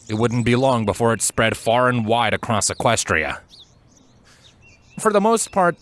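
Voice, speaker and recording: A man with a fairly low voice reads as a storyteller. His delivery is measured and articulate. He narrates scripted prose with expression. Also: fairly bright tone. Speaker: fan fiction narrator and voice actor.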